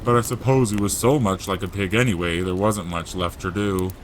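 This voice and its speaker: A man voicing a gruff, warm-hearted giant gamekeeper, blustery and loyal, in growling rustic dialect for a storybook audio drama. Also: low-pitched, steady tone, brisk, slight background noise, lightly acted, rough recording. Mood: sheepish.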